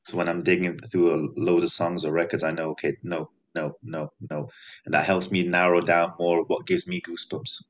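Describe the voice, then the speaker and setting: Man from the UK, guest DJ, very low voice, easygoing. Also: flat delivery, normal speed. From United Kingdom.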